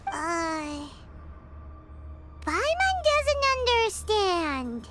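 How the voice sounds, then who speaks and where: A woman voicing a tiny childlike fairy companion in a video game, squeaky, perky and exclamatory, easily confused. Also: sing-song, very slow, over loud music, heavily acted. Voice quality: squeaky, shrill. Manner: speaks of self in third person, exclamatory. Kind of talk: video game character acting.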